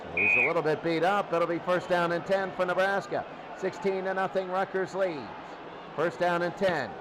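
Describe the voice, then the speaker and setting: High-pitched male football announcer over loud noise. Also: normal speed, over a noisy crowd. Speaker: play-by-play sports announcer. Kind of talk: American football radio commentary. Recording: loud steady noise behind the voice.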